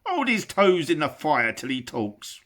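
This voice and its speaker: Bert, a man doing a loud bullying troll. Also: on the high side, huge pitch swings, a little slow, pitched above the performer's own voice, exaggerated voice. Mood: menacing. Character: mean quarrelsome male troll, suspicious and cruel. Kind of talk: audiobook character voice.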